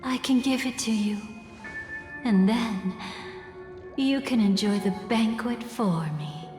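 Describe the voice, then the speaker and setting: An elegant, slow-speaking, teasing schemer. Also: female voice, a little high, a little mellow. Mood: mysterious, inviting. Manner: theatrical, teasing, silky and deliberate. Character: elegant, mysterious woman, capricious, playfully menacing memory thief. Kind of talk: video game character dialogue. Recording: long reverb, music behind.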